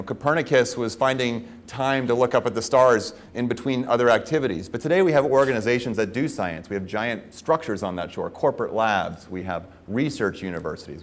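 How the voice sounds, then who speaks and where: A man in his forties opening a conference panel as moderator, speaking in a measured, articulate way on a lapel mic. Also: mid-range voice. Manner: measured, articulate, with frequent "uh" fillers. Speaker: moderator, professor. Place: conference stage.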